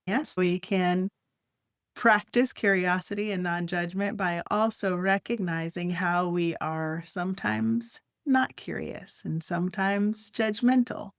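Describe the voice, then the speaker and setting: A woman leading a guided meditation, speaking gently in short, unhurried phrases with long pauses. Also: on the low side, no room sound, clean studio sound.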